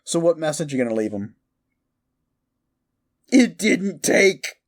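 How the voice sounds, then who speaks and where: A man playing a gleeful, mischievous accomplice in a comedic audio roleplay, chatting excitedly with hesitant asides. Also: on the high side, unhurried, voice pushed up. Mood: delighted, amused. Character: gleeful, mischievous young man, amused accomplice in dark prank.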